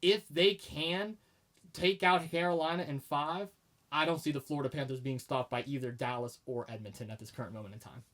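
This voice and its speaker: A man's voice: a little high, steady tone, brisk, fairly bright tone. A man, co-hosting a casual sports podcast, making emphatic, opinionated predictions while starting to lose his voice. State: losing his voice. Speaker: podcast co-host.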